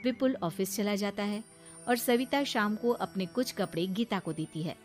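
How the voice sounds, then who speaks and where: A woman delivering scripted narration as the storyteller of an animated tale. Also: medium pitch, normal speed, loud music behind the voice.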